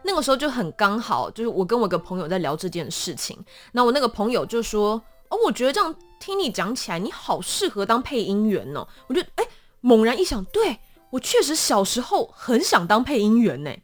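A woman with a Taiwanese Mandarin accent, telling stories as a guest in a conversational interview with a dramatic, animated delivery. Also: on the high side, fairly quick, soft background music. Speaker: voice actor guest.

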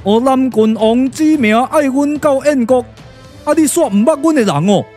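High, folksy Taiwanese comic warrior. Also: male voice, normal speed, soft background music. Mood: breezy, introducing. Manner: blunt, excitable, colloquial. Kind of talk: Taiwanese glove-puppet radio drama acting.

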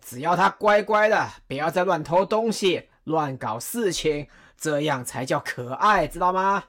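A woman playing Cao Cao, a weary, lonely middle-aged warlord, speaking slowly with heavy sighs in an audio drama. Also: lowish voice, lively intonation, a bit bright, a little hiss or hum. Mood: wistful. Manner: slow, heavy sighing delivery.

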